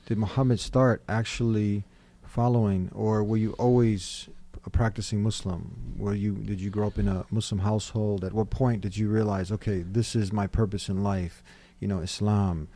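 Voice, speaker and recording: Flat, dark-voiced male interviewer. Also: fairly low pitch, normal speed, hardly any pauses. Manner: probing questions, steady, "you know" fillers. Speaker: interviewer, host. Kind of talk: casual interview talk.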